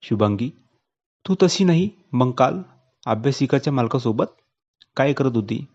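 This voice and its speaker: A man's voice: mid-range voice, expressive, moderate pace, a little mellow, highs cut off. A man voicing a young male student in a narrated story, blunt and insistent. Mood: accusing. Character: young male student, infatuated, later coercive.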